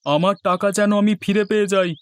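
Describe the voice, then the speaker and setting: A man's voice: high voice, quick pace, clear, resonant tone, dry recording, lightly acted. A man voicing a stern, threatening merchant moneylender in a fairy-tale cartoon.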